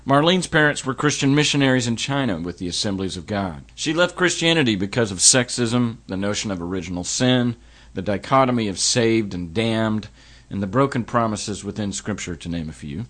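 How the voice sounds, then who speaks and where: A middle-aged man hosting a podcast, talking in an earnest, reflective way that turns halting when he speaks of personal matters. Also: lowish voice, expressive, a bit bright. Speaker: podcast host. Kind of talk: podcast intro and interview.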